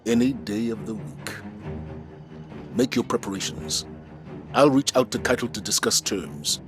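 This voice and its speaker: An actor playing a dutiful, resolute military commander in a video game, speaking with measured, solemn authority. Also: male voice, fairly low pitch, animated. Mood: resolved. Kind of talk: scripted video game dialogue. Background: music.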